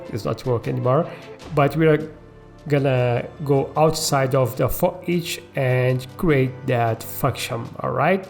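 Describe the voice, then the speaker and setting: Guy doing a coding tutorial, slow casual step by step, music in the back. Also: medium pitch, creaky. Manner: checks in often with tag questions like okay and all right. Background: background music.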